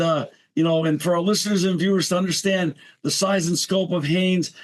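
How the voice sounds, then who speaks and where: A man hosting a podcast interview, effusive and enthusiastic as he welcomes his guest. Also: high-pitched. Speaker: podcast host.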